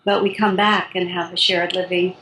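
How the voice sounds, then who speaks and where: A woman in her sixties, a spiritual teacher calmly explaining her practice in an interview, with light laughs. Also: on the low side, normal speed, slight background noise. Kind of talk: interview guest. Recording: roomy echo.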